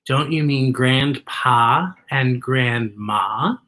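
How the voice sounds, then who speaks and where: A woman's voice: very deep voice, very slow, fairly bright tone, pitched below the performer's own voice, exaggerated voice. A woman voicing a cheerful, patient female schoolteacher talking to small children in a storybook. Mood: gently correcting. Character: cheerful adult female schoolteacher, kindly but mistaken.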